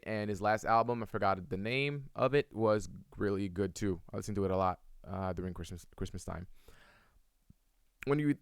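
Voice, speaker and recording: Low, smooth-voiced man answering chat. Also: fairly bright tone, no echo, studio quality. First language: French. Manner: rambling, many fillers and restarts. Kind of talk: casual live-stream Q&A.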